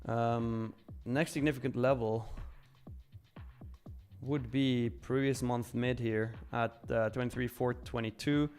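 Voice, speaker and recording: A man with a medium-pitched voice hosts a live stream in a casual, frank manner. He answers chat and comments as he goes, often repeating a phrase for weight. Faint music plays behind him. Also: fairly even intonation, slow, a little mellow. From Switzerland. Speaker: live trading stream host.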